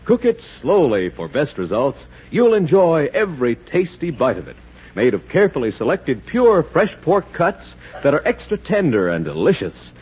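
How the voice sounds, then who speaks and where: A man's voice: fairly high pitch, darkish tone, slightly rough. A man announcing and selling in 1940s radio style, with an emphatic, salesmanlike delivery. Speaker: radio announcer and sponsor pitchman. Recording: muffled, noisy 1940s radio recording.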